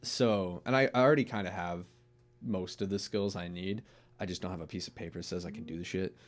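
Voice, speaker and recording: A young man with a deep voice chatting casually as a podcast guest, rambling with restarts and swearing. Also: brisk, faint music behind. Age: young adult. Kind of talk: casual chat.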